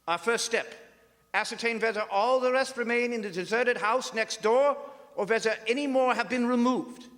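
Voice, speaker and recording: A grave professor and leader of vampire hunters, played by a man in old-time radio style. The voice is strikingly high for a man, with solemn, authoritative phrasing. It carries a long hall reverb from a live stage. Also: fairly bright tone. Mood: grave, resolute. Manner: solemn, deliberate, oratorical.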